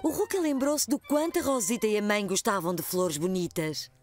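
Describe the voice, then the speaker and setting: Uma mulher com voz cantada e expressiva narra para crianças, calma e clara. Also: female voice, mid-range voice, a little fast, faint music behind. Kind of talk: storybook narration.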